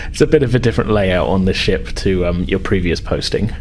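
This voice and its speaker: A man's voice: sits low, fairly quick, a little hiss or hum. A man narrating casually as game master in a roleplaying game, improvising and hedging as he goes. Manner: casual, hesitant hedging with "sort of" and "like".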